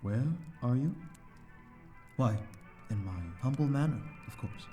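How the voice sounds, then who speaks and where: A man's voice: medium pitch, measured pace, mellow, soft background music, natural voice. A man playing a courteous, lonely vampire host in an ASMR audio roleplay, speaking in a formal, flowery, slightly flustered and hesitant way. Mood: gentle, reassuring. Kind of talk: ASMR audio roleplay, scripted character acting.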